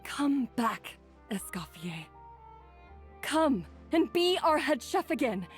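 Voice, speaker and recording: A self-doubting head chef who speaks slowly and haltingly, stumbling over her apologies. Her voice sits a little high. Soft game music plays behind her. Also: very animated, heavily acted. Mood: remorseful. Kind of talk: video game voice acting.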